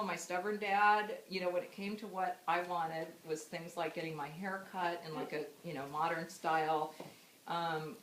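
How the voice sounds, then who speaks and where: A woman's voice: lowish voice, reverberant, a little hiss or hum, poor audio. A woman in her fifties from the United States giving a memorial speech about her mother, telling stories with laughs and fillers. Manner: warm storytelling with frequent laughs and fillers. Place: memorial gathering room.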